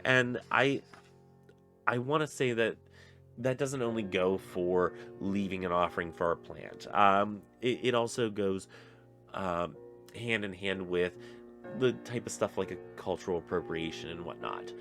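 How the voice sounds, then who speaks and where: Young man, very deep voice, congested, casual rambling book chat over soft guitar. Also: measured pace. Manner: rambling, many fillers and restarts. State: stuffy nose. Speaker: book reviewer on a pagan channel. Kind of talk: casual spoken book review.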